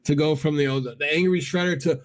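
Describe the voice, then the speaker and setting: A man's voice: a little high, fairly even intonation, quick pace. A middle-aged man hosting a cartoon countdown show, chatting casually and enthusiastically as a fan.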